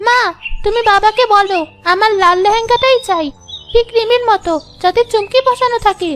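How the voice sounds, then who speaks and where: Cartoon little village girl: very high voice, eager, pleading, stubbornly hopeful. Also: normal speed, fairly bright tone. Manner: childlike, earnest pleading. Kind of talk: children's cartoon dialogue. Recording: faint music behind the voice.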